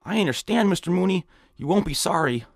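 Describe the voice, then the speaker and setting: A man's voice: high-pitched, lively intonation, a little fast, dry recording, clean studio sound. A man in an audio drama playing a timid, eager, put-upon clerk, hesitant and a little whiny. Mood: nervous, deferential.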